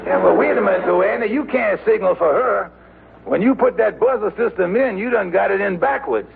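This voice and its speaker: An actor playing an earnest, fretful best pal in an old radio sitcom, speaking gravelly, hesitant, folksy lines. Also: male voice, high-pitched, expressive, a little fast, exaggerated voice. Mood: teasing, curious. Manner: hesitant, folksy broken grammar. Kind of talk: 1940s-50s radio sitcom acting. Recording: tinny, hissy vintage radio broadcast.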